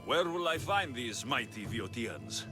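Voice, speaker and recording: An actor playing a tough, cocky young Greek mercenary in a video game, terse and confident. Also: male voice, medium pitch, big pitch swings, measured pace, over music. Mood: defiant, dry. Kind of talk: dramatic video game dialogue.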